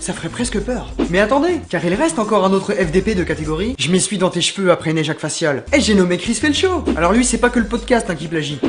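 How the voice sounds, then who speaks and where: Fast-talking sarcastic male ranter. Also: high-pitched, lively intonation. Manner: vulgar, sarcastic, mocking. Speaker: YouTube commentator. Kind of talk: scripted comedic rant. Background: background music.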